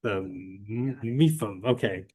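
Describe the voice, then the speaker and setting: A man presiding as hearing officer at a public hearing, speaking in a measured, procedural way with frequent hesitations. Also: on the low side, lively intonation, darkish tone, slightly rough.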